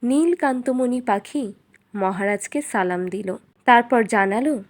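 A woman's voice: fairly high pitch, a little slow. A woman narrator reading a scripted fairy tale for children.

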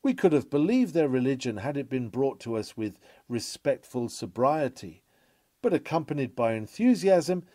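Mellow-voiced man reading a sermon. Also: medium pitch, big pitch swings, no room sound, clean studio sound. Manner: formal, measured oratorical delivery. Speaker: reader of a historical sermon.

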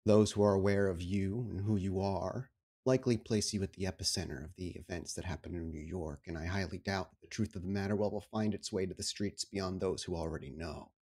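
A man playing a stern, calculating vampire prince in a tabletop game, speaking formally and deliberately. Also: low-pitched, steady tone, darkish tone. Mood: stern, diplomatic. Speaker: storyteller playing a character.

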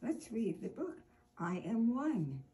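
Slow, expressive older woman reading to children. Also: fairly high pitch. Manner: deliberate, expressive, with drawn-out words for emphasis. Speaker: storytime host reading a picture book aloud. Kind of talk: children's storytime read-aloud. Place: indoor room with armchair.

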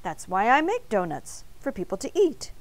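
A woman's voice: fairly high pitch, wildly swooping pitch, moderate pace. A woman voicing a friendly, matter-of-fact baker in a children's storybook. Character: matter-of-fact, obliging male bakery owner.

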